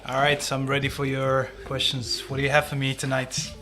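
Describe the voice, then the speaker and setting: A man's voice: mid-range voice, monotone, fairly quick, gravelly, pushed and bright. A man with an Algerian accent answering interview questions in a conversational way, like a teacher explaining himself. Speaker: English teacher, podcast guest.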